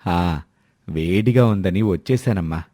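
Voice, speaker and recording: A man's voice: sits low, unhurried, mellow, dry recording, voice pushed down. A man voicing a long-suffering older father-in-law in a cartoon family story, grumbling mildly and wearily. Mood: mild, curious. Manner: weary, grumbling, affectionate to daughter-in-law.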